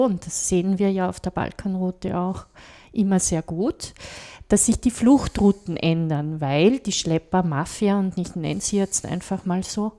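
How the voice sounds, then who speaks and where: A woman's voice: mid-range voice, warm tone. A woman with an Austrian accent in a casual political discussion, critical and emphatic, often adding short tag questions. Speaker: podcast panelist.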